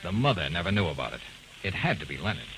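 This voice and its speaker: An actor playing a tough, wisecracking private detective in 1940s American radio drama, with brisk clipped delivery. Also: male voice, deep voice, sing-song, fairly bright tone. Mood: matter-of-fact. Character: wry, tough, quick-witted male private detective. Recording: noisy vintage radio drama recording.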